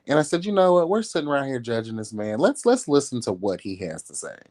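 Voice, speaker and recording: A man's voice: medium pitch, very animated, fast, darkish tone, dry recording, clean studio sound. A man giving casual celebrity gossip commentary as a livestream host, with a sassy, catty, blunt and slangy way of talking.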